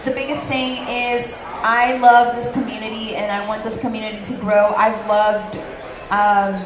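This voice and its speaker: A woman's voice: fairly high pitch, flat delivery, normal speed, a crowd in the background. A young woman whose first language is Portuguese, hosting a convention panel in an earnest, chatty way with frequent ums. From Brazil. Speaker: convention panel host, theater teacher. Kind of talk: casual panel talk. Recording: echoey room, background noise.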